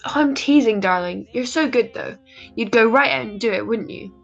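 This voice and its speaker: A woman playing a loving young wartime wife in a 1940s-style radio drama, affectionate and gently wry. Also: fairly high pitch, normal speed, creaky. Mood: affectionate, playful. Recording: dull muffled audio, faint music.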